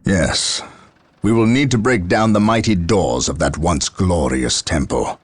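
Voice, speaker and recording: A man playing a stern, burdened space marine captain in a video game, speaking gravely and formally. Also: low voice, a little slow, dry recording. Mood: commanding. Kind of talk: dramatic video game acting.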